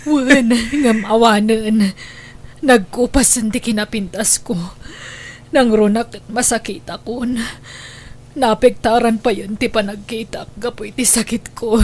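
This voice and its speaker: A woman's voice: a little high, steady tone, a little mellow. A woman playing a sickly, poor, weary mother in an emotional radio drama. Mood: weak, sorrowful. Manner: slow, emotional delivery.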